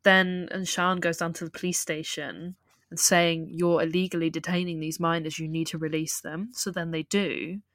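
Woman podcast co-host, lower voice, dry close mic, lively chatty recaps. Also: moderate pace, no echo. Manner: animated retelling with laughs. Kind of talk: casual film discussion chat.

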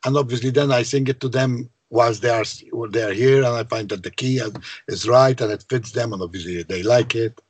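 A low-voiced songwriter from Malta recounts the past in a casual interview. His tone is slightly husky, and he often stops to repeat words. The sound is dry and close. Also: a little fast, no room sound. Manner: hesitant, repeats words, conversational. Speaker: songwriter guest.

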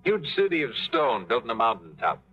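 A man's voice: mid-range voice, wildly swooping pitch, brisk, soft background music. An actor in a 1950s radio drama playing a sardonic male American pilot who narrates his own story, with clipped, dry humor. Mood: wry. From California. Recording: muffled old radio broadcast with loud score.

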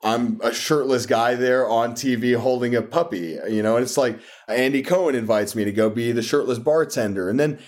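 A man's voice: medium pitch, normal speed, hardly any pauses. A man, a fitness coach, telling stories earnestly in a casual interview, often restarting his sentences. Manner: earnest, rambling, frequent restarts.

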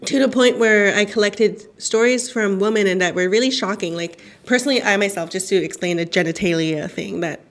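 A woman of Chinese origin whose first language is Cantonese, speaking as a journalist guest in a radio interview, animated and laughing often. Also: medium pitch, fairly quick, hardly any pauses.

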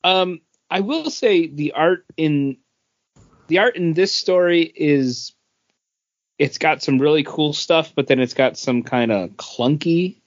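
A man's voice: on the high side, slow pace, no echo, highs cut off. A man co-hosting a podcast, giving his opinions in casual, conversational review chat. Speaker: comics podcast co-host.

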